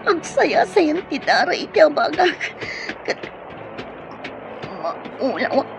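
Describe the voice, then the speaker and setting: A woman's voice: high-pitched, sing-song, slow pace, vocal fry, over music, heavily acted. A woman with a Filipino accent playing a frightened young woman rebel, anxious and pleading, in a radio drama. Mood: panicked, desperate. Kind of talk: Filipino radio drama acting with inner narration. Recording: muffled lo-fi radio drama audio.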